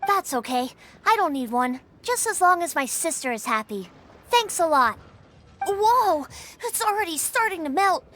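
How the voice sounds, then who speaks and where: A woman's voice: very high-pitched, very animated, normal speed, fairly bright tone, heavily acted. A woman voicing a sweet, eager little child in a video game, with childlike, simple phrasing. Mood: eager, grateful. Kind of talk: scripted video game dialogue.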